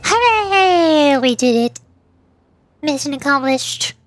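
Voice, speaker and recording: A woman voicing a tiny, excitable, childish female companion sprite in a video game, chirpy and eager. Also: high voice, slow, faint music behind. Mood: triumphant. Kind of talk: live dub of game dialogue.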